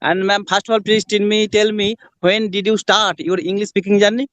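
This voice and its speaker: Bengali accent guy, kinda high crisp voice, polite, repeats himself. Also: level tone, brisk, a bit bright, no echo. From Bangladesh. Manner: formal, repetitive, polite. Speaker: conversation practice host. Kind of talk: practice conversation.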